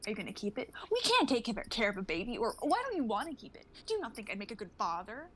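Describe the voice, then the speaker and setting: A lonely, anxious young woman with a fairly high voice, acted by a woman in a dramatic script reading. There is some faint noise in the amateur livestream recording. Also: wildly swooping pitch, fast, a bit bright. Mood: exasperated, despairing. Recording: noisy amateur livestream audio.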